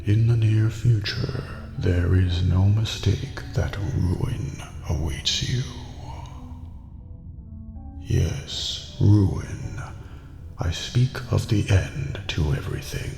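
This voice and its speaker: A man acts out an old, otherworldly prison master with courteous, ominous formality. His low, gravelly voice slips toward a whisper in this ASMR roleplay, with long hall reverb around it and music behind. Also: dark tone, exaggerated voice. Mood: ominous, solemn. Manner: formal, deliberate, ominous, often near whispering.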